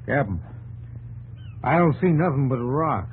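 An actor playing a plain-spoken, laconic frontier scout with folksy, drawling phrasing in a 1950s radio drama. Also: male voice, mid-range voice, animated, unhurried, husky. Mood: dry. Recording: noisy, narrow-band 1950s radio recording.

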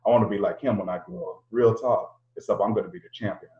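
A man's voice: fairly low pitch, fairly even intonation, quick pace, natural voice. A man voicing a brash, cocky young male boxer who talks in swaggering slang. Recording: roomy lo-fi home recording.

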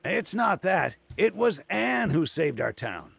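Very creaky, raspy old mayor. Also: male voice, sits high, big pitch swings, slow, fairly bright tone, faint music behind, exaggerated voice. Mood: somber. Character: weary, earnest old male desert-town mayor. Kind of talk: animated video game cutscene acting.

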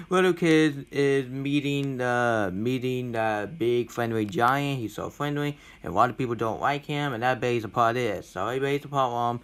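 A young man giving an informal movie rant, blunt and complaining, in a halting, repetitive way. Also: fairly bright tone. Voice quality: self-described deep voice. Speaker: movie reviewer.

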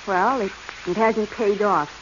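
A woman's voice: mid-range voice, a little slow, natural voice. Actress as a frank 1940s radio-drama woman. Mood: firm, weary. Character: plain-spoken independent woman adventurer, tired of roughing it. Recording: noisy, muffled 1940s radio recording.

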